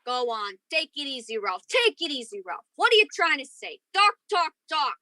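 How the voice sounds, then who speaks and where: A woman's voice: high-pitched, big pitch swings, normal speed, sharp tone, no echo, studio quality, exaggerated voice. A woman playing a hot-tempered, protective lover in a radio crime drama. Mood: furious. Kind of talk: old-time radio crime drama acting.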